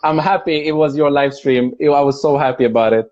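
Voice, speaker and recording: Male guest, first language Persian, relaxed warm tone, welcoming casual chat, muffled video call audio. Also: fairly high pitch, a little fast. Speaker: live stream guest, content creator. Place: on a video live stream.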